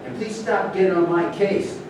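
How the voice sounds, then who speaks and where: A man's voice: normal speed, natural voice. Man playing a touchy golfer, echoey and distant. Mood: defensive. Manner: defensive. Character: touchy, scoffing male professional golfer. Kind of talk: staged play reading. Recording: echoey room, distant mic, noisy rumble.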